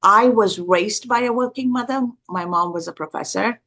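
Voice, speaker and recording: A woman from Sri Lanka hosts a podcast interview. She frames her questions in a warm, thoughtful way. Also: mid-range voice, normal speed. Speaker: podcast host. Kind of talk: conversational interview.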